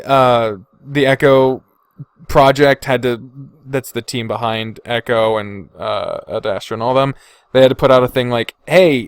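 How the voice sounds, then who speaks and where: A man's voice: mid-range voice, clean tone, no echo, studio quality. A man hosting a podcast, chatting informally and swearing freely as he reads and reacts to comments. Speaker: podcast host. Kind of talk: casual chat, reading and reacting to viewer comments.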